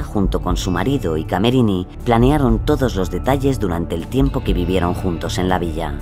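A man narrating a scripted true-crime audio documentary. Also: lowish voice. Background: music.

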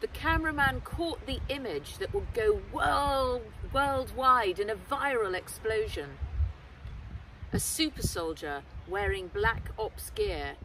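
A middle-aged woman reading a children's adventure story aloud with dramatic, deliberate emphasis, recorded outdoors. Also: on the high side, lively intonation, fairly bright tone. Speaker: author reading own book aloud. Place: outdoors in woodland. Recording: distant mic, noisy outdoor ambience.

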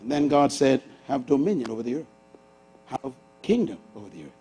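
A Bahamian man in his sixties preaching a sermon on a church stage, swinging from loud bursts to hushed asides. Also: mid-range voice, animated, a little fast, husky. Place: large church auditorium stage with audience.

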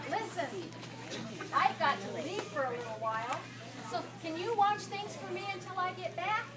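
A woman's voice: extremely high pitch, moderate pace, crowd noise. A woman playing a friendly, trusting bus driver in a children's puppet show, politely giving instructions. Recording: echoey noisy room from far off.

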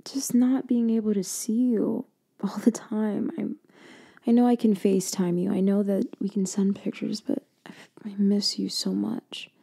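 A woman doing a girlfriend roleplay for ASMR, speaking softly and intimately, slipping in and out of a whisper and often trailing off mid-thought. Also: flat delivery, moderate pace, gravelly, slightly rough. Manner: soft, intimate, drifting between low voice and whisper; hesitant, trailing off and restarting.

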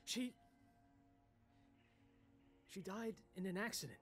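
A man's voice: sits high, takes it slowly, a bit bright, faint music behind. A young man playing an intense, emotional, resentful ninja son in a video game. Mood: shaken. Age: young adult. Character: hot-headed, wounded young male ninja warrior, resentful son. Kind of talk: dramatic video game acting.

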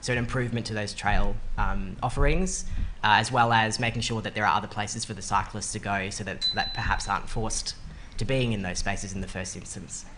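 A young man answering as a candidate at a public forum, earnest and measured, speaking into a desk mic in a meeting room. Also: on the low side, steady tone, noisy background. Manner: earnest, measured, some restarts. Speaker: political candidate on a panel. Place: meeting room with panel table. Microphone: desk microphone on stand.

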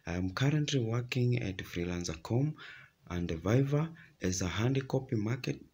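Husky-voiced Kenyan freelancer. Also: male voice, mid-range voice, animated, moderate pace, dark tone. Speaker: freelance marketer. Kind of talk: self-introduction video. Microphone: phone at arm's length.

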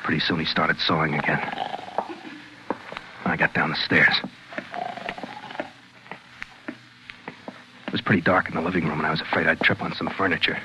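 A man's voice: a bass voice, fairly even intonation, fast talker, noisy background. An actor playing an anxious, secretive man confessing his plot in a 1940s radio suspense drama. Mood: tense, careful. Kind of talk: 1940s radio suspense acting, first-person narration. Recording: dull 1940s radio recording with music.

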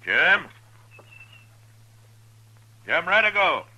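An actor playing a calm, steady frontier lawman in a western radio drama, terse and plainspoken. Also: high voice, very slow, breathy edge, highs cut off, exaggerated voice. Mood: puzzled, watchful. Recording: noisy 1950s radio recording.

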